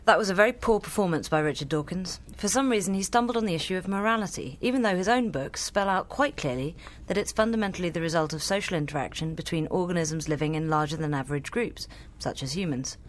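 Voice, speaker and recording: A woman's voice: fairly low pitch, lively intonation, quick pace, hardly any pauses. A woman co-hosting a podcast, talking casually with a skeptical, wry manner. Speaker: podcast co-host. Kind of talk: casual chat.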